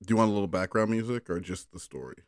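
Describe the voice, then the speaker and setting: Man, low voice, casual talk and amateur story reading, rambling coaxing manner, dry recording. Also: expressive, rapid-fire, no room sound. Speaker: bedtime story reader on a call. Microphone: phone on a call.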